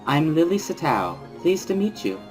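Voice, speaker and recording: A serene, formally polite schoolgirl, put on by a man in a soft voice pitched well up. The words come measured and composed, as in a dramatic reading of a visual novel. Music plays behind. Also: very animated. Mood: calm, polite.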